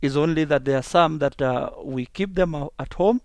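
A man, an assistant chief answering interview questions in a halting way, with restarts. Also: a little high, darkish tone, dry recording. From Kenya.

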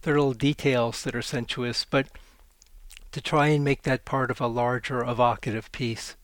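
Man reading his poems slow and flat, smooth voice, dry studio sound. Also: mid-range voice, no room sound. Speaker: poet guest. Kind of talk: poetry reading.

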